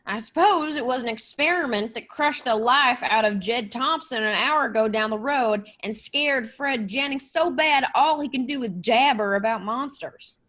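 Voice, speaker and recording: A woman playing a gruff, suspicious, excitable country sheriff in an old-style radio play. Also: fairly high pitch, moderate pace, hardly any pauses. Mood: brusque, accusing. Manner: brusque, disbelieving.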